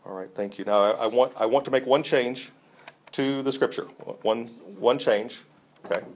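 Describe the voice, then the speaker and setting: A man's voice: mid-range voice, moderate pace, a little hiss or hum. An enthusiastic man teaching a Bible class, talking conversationally with frequent restarts and fillers.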